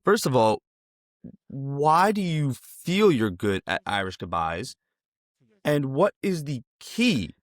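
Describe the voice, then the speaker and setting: A man's voice: mid-range voice, sing-song, slow pace, dry recording, clean studio sound. An American man hosting a conversational interview, asking wordy questions that he rephrases as he goes. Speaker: interviewer, host.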